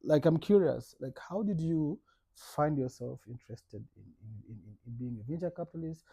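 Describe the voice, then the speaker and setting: A man's voice: on the high side, moderate pace, dark tone, dry recording. A man hosting an interview, who asks his questions with hesitations and restarts. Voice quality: gravelly, husky. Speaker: podcast co-host. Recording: dull, muffled audio.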